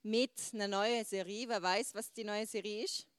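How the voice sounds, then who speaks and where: Female, twenties, Swiss German accent, medium pitch, chatty informal youth group presenter. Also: fairly even intonation, normal speed, smooth. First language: Swiss German dialect. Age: 20s. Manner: chatty and informal, mixing in English words.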